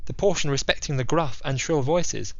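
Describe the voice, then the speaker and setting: A man's voice: on the high side, normal speed, vocal fry, darkish tone, no room sound, dull audio. A man playing a self-assured, analytical detective in an audio drama, speaking formally and methodically with theatrical emphasis. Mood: measured, deliberate. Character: C. Auguste Dupin, analytical, self-assured male detective in Paris. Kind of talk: theatrical audiobook character monologue.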